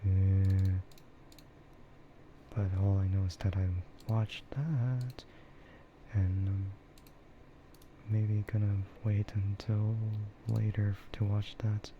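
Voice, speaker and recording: A man streaming soft, breathy ASMR chat, hesitant and unhurried, often dropping into a whisper. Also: on the low side, little pitch movement. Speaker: streamer, VTuber. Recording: muffled, lo-fi, clearly noisy.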